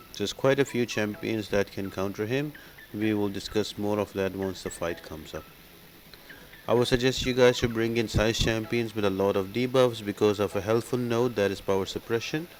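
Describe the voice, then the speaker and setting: Low-voiced man narrating a game guide. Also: a little hiss or hum. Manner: casual, instructional. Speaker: game guide video host. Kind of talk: explanatory gameplay walkthrough commentary.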